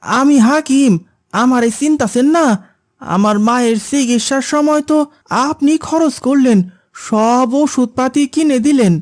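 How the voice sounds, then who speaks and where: A woman's voice: high-pitched, unhurried, darkish tone. A woman voicing a friendly, respectful young village man in a cartoon, with a rural Bangladeshi accent. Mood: eager greeting. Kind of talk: cartoon voice acting.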